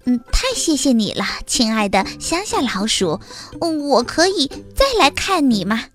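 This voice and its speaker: Woman playing a storybook city mouse, very high, lively swoops, eager, friendly, repeats words. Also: normal speed, soft background music. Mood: grateful, cheerful. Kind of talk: children's storybook character voice.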